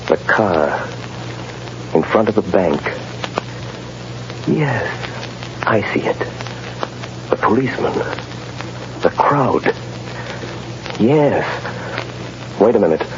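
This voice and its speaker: A man playing a mysterious, commanding vigilante in an old-time radio drama, with deliberate, dramatic delivery. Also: on the low side. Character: mysterious commanding male mind-reading vigilante, urgent and intense. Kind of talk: 1930s-40s radio acting. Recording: muffled, noisy old radio broadcast recording.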